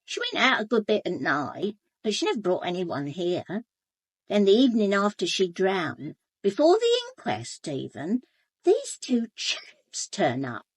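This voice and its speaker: An actress playing a gossipy, blunt English housekeeper in a British radio drama, chatty, colloquial and confiding. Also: female voice, mid-range voice, huge pitch swings, measured pace, breathy edge, no room sound, heavily acted. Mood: knowing, gossipy. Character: gossipy, blunt, sharp-eyed housekeeper, a working-class woman. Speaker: actor in a radio murder mystery.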